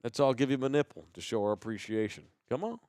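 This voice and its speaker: An American man hosting a podcast with casual banter and sponsor shout-outs, talking in a slangy, rambling, profane way. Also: medium pitch, quick pace, no echo, clean studio sound. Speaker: host of a live podcast.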